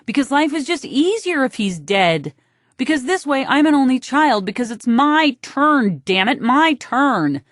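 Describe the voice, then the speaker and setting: Woman doing a bitter little sister type, grown up, stagy and overwrought, higher pitch, audiobook, very dry sound. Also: big pitch swings, a little slow, no echo. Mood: resentful, desperate. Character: bitter, attention-hungry younger sister playing to crowd.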